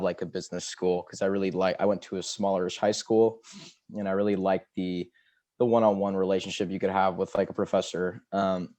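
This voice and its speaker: Young man, very low voice, relaxed, thinking aloud, video call. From Seattle, USA. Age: young adult. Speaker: student scholarship recipient.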